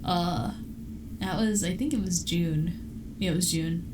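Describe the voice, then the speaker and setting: A woman's voice: fairly low pitch, a little slow. A young woman streamer chatting casually with her viewers, giggling often and restarting her sentences as she rambles. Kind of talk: casual chat with live chat. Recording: distant mic with clear background noise.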